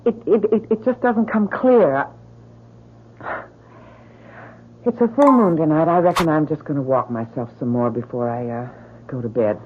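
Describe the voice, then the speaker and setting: A woman's voice: sits low, moderate pace, long pauses, natural voice. An actress playing a shy, plainspoken young mountain woman with a rural American accent, speaking hesitantly and folksily in a radio drama. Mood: uneasy, wistful. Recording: noisy, narrow-band old radio recording.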